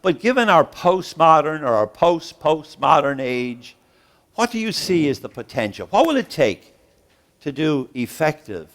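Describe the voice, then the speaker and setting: A man giving an interactive lecture to a gathered audience, preacherly and rhythmic, with slow dramatic pauses and sudden rises, putting questions to the room. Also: mid-range voice, a bit bright. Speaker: guest professor and speaker.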